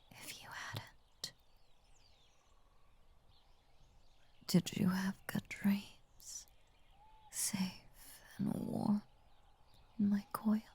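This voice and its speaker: A woman voicing a tender, possessive lamia in an intimate ASMR roleplay, whispering slowly and soothingly with formal, coaxing words. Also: medium pitch, warm tone, heavily acted. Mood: tender, doting. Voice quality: breathy, whispery. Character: possessive, tender female lamia, half snake, doting and unnerving.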